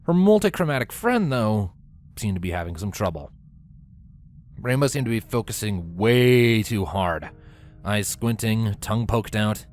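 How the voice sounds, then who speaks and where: Man narrating a story, lively intonation. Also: lowish voice, unhurried.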